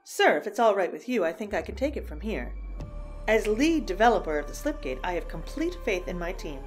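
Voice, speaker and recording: A woman's voice: medium pitch, big pitch swings, a little fast, close to an everyday voice. A woman playing a self-assured lead scientist in an audio drama, speaking in a poised, declamatory manner. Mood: assured, proud. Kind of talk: theatrical audio drama speech. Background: music.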